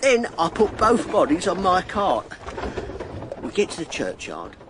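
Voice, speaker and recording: Wry plague-era London labourer, lively swooping intonation, colloquial, radio drama, noisy ambience behind. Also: male voice, high voice, a little slow, full character voice. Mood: wry storytelling. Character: earthy working-class Londoner of plague times, wry and plaintive.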